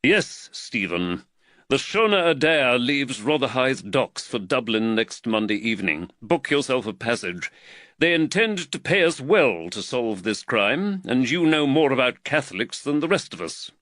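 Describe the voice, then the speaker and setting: An earnest magistrate who frets over money, put on by a man reading the story aloud. He speaks a notch higher and louder than the narration, leaning hard on his points with a lively, swinging pitch. The recording is dry and close, with no room around it. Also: a little slow, no echo. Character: earnest, fretful male London magistrate, money-worried. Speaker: magistrate and employer.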